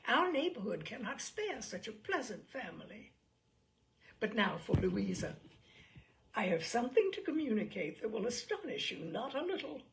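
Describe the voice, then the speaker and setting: A woman reading a classic novel aloud, voicing a self-pitying, gossipy young married gentlewoman with an animated, complaining manner. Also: on the high side, normal speed, vocal fry, a little hiss or hum, rough recording. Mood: gossipy, excited.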